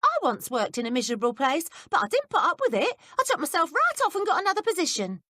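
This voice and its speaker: A woman voicing a clever, nosy young housemaid who asks eager, high-pitched questions. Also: wildly swooping pitch, fast, sharp tone, no room sound, studio quality, exaggerated voice. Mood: indignant.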